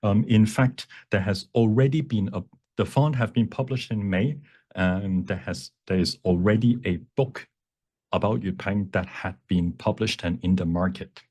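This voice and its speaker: Low-voiced man giving an informal workshop. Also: moderate pace, darkish tone, husky. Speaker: workshop presenter, font creator. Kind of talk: informal software demonstration, explaining.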